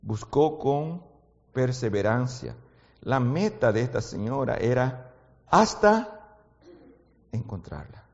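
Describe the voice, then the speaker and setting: A man preaching a sermon in a language that is not his first one, as his native Portuguese slips in. He shifts between quiet, intimate talk and loud, fervent exhortation, and keeps asking his listeners questions. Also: medium pitch, very animated, takes it slowly, long pauses, in a large hall, muffled recording. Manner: asks the audience frequent questions.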